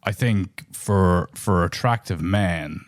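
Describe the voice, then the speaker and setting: A man's voice: sits low, takes it slowly, dry recording, clean studio sound. A man with an Irish accent co-hosting a podcast, talking in a reflective, thoughtful way with frequent restarts. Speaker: podcast co-host. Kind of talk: casual podcast chat.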